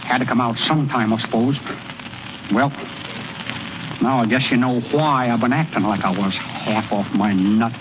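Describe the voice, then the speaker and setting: A man's voice: mid-range voice, natural voice. An actor playing a plainspoken small-town American ballplayer and delivery man in old-time radio style, with a folksy, slangy way of talking. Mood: shy, miserable. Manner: folksy, slangy, halting when shy. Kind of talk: 1930s-40s American radio acting. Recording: muffled, noisy old radio broadcast.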